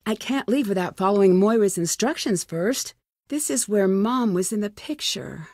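A woman's voice: medium pitch, animated, normal speed, darkish tone, no echo, studio quality, close to an everyday voice. An American woman playing a curious, plucky young amateur detective in a video game, talking to herself in short, wry remarks. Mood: curious, focused. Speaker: voice actor in a detective adventure game. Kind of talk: video game character lines.

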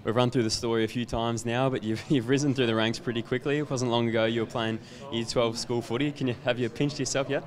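A man interviewing a footballer after a match, asking brisk questions into a handheld mic. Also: medium pitch, steady tone. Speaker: club interviewer. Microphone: handheld microphone.

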